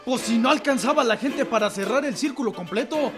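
An actor playing a plain-spoken revolutionary soldier in a radio drama, animated and colloquial. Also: male voice, very high-pitched, brisk, over music. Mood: explaining.

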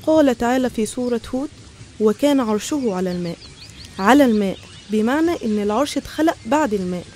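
A woman with an Egyptian accent narrates religious stories as a teacher would. Her voice is high and soft-edged in tone, on the mellow side, and she moves along quickly. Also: a little hiss or hum. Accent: Egyptian Arabic. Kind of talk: educational religious storytelling narration.

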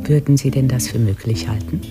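Businesslike female assassin for radio crime play: very low for a woman, polite, calm, strong string music behind. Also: fairly quick. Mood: cool, businesslike. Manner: calm, matter-of-fact. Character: polite, cool, businesslike female contract killer. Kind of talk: radio drama acting.

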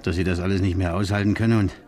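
Ein Schauspieler spielt in einem Krimihörspiel der 1950er einen misstrauischen, von Schuld geplagten Mann, der grüblerisch und stockend spricht. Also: male voice, deep voice, fairly even intonation, fast, darkish tone, natural voice. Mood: guilt-ridden, despairing. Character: distraught, suspicious, guilt-ridden man searching for his fiancée. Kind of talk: 1950s German radio crime drama acting.

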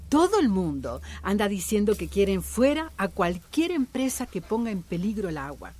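Animated, reassuring village woman acted. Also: medium pitch. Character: calm, capable village woman activist, reassuring neighbour. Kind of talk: radio drama acting.

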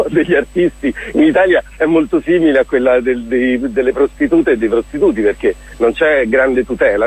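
Enthusiastic man with a slightly husky voice. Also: mid-range voice, low-quality recording. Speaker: interview guest, theatre director. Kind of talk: radio interview, casual promotional talk.

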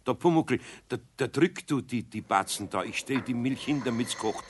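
A man's voice: medium pitch, animated, normal speed, heavy background noise, close to an everyday voice. A man playing a good-hearted, grumbling older craftsman with a Bavarian accent in a children's radio play, homely and exasperated. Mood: busy.

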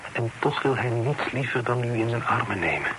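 An actor playing an earnest, considerate gentleman in a radio drama, speaking in a measured, formal and gentle way. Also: mid-range voice, lively intonation, close to an everyday voice. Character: earnest, considerate gentleman bearing news of reconciliation. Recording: noisy old radio play recording.

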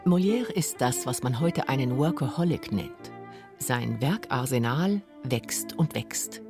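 A woman's voice: a bass voice, expressive, unhurried, music in the background. A woman narrating a scripted radio documentary.

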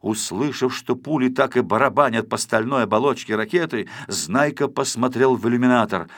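A man narrating a children's story from a script in an expressive style. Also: mid-range voice, normal speed. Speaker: audiobook narrator.

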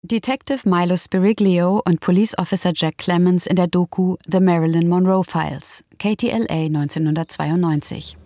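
Mellow, low-voiced female announcer. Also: steady tone, moderate pace, hardly any pauses, no room sound. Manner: factual, neutral. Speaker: announcer of sources. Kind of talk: scripted narration.

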